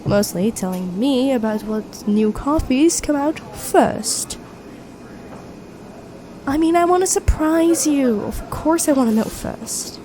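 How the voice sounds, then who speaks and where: A woman's voice: high-pitched, lively intonation, slow pace, dark tone, slight background noise. A woman playing a friendly, teasing young best friend with a secret crush in an intimate ASMR roleplay. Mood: teasing, flustered. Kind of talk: intimate ASMR roleplay acting, one side of a conversation.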